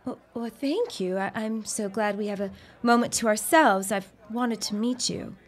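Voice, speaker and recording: An actress playing a poised, curious young bride-to-be in a period audio drama, polite, refined and probing. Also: female voice, fairly high pitch, moderate pace, lightly acted. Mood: politely probing.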